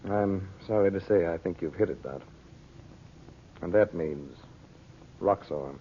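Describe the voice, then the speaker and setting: An actor in an old radio drama playing an earnest, steady man who deliberates seriously. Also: low voice, a little hiss or hum. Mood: pondering, serious. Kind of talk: 1940s radio drama acting. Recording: muffled, phone-like old radio broadcast recording.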